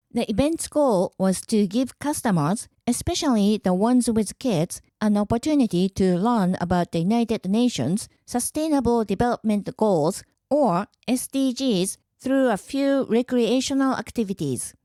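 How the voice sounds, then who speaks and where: A woman from Japan hosting a podcast, calmly explaining in a measured, clearly enunciated way. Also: fairly high pitch, no echo. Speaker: podcast host. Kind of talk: scripted explanatory podcast monologue.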